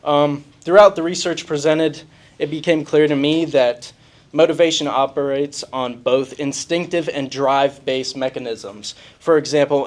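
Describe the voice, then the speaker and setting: Man giving a relaxed talk. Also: mid-range voice. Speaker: student presenter. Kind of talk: class presentation. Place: college event room.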